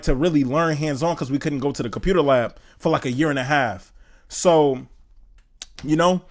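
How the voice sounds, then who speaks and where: A young man in his twenties from Indiana, chatting casually on a live stream, loose and slangy, reading chat aloud and thinking out loud. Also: medium pitch. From Indiana, USA. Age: 20s. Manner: slangy, loose, says "bro" a lot.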